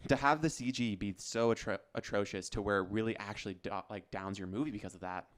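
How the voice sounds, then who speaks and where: A man's voice: on the low side, fast. A man co-hosting a casual movie podcast, talking eagerly with many "like" fillers. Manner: enthusiastic, lots of "like" fillers. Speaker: podcast co-host. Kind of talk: casual chat.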